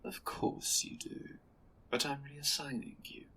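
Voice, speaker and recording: An actor playing an amused, condescending superior of a sci-fi order in an audio roleplay, speaking in a measured, theatrical, wry manner. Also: mid-range voice, level tone, pushed and bright, breathy edge. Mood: firm, patronizing. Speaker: guest voice actor.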